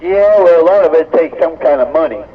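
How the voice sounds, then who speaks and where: A man's voice: fairly high pitch, expressive, a little fast. An American man chatting casually over a CB radio transmission. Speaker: CB radio operator. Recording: thin narrowband CB radio, faint static.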